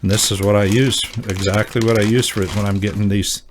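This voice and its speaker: A man hosting a hobby gear video, talking casually and off the cuff in a halting, folksy, informal way. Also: on the low side, flat delivery, background noise. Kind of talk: casual show-and-tell talk.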